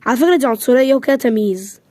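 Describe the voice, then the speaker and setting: A woman's voice: sits high, lively intonation, rapid-fire, darkish tone. A woman voicing a sulky, grumbling teenage boy, speaking with an Egyptian accent and complaining constantly. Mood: whiny. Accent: Egyptian Arabic. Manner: whiny, complaining.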